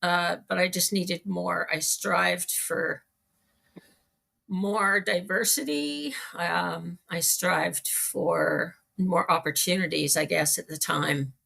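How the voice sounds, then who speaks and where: Slow, creaky middle-aged Canadian woman. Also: fairly low pitch, bright, forceful tone. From small-town Manitoba, Canada. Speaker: interview guest. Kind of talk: casual personal storytelling.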